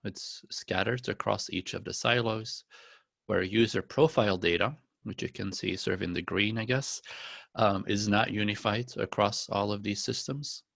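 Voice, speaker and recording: A middle-aged man, a native Dutch speaker, giving a corporate presentation to partners in a relaxed way with many fillers. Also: lowish voice, normal speed, creaky, dry recording, studio quality. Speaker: company founder presenting to partners.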